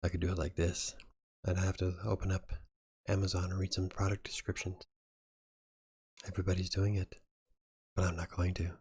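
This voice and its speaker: A man with a fairly low voice hosts a loose live chat. He rambles, laughs between thoughts and speaks. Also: level tone, moderate pace, pauses often, darkish tone, husky. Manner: rambling, chuckling often. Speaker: livestream host.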